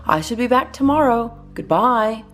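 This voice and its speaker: A woman voicing a wise old father owl in a children's storybook, stern and instructive in a measured way. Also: a little high, lively intonation, fairly bright tone, faint music behind, pitched above the performer's own voice. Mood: fussy, instructive.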